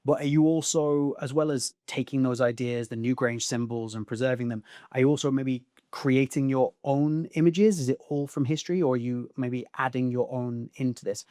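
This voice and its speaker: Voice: mid-range voice, moderate pace, few pauses, dark tone, no room sound, clean studio sound. An interviewer asking encouraging, conversational questions that wander a little.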